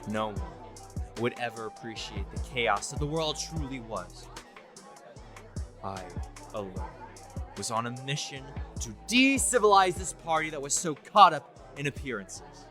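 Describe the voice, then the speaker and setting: A man's voice: medium pitch, sing-song, measured pace, over music. A man playing a smug, deluded college student who thinks he is the Joker, in a pompous, theatrical story reading. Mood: bitter, fervent. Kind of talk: dramatic first-person story reading.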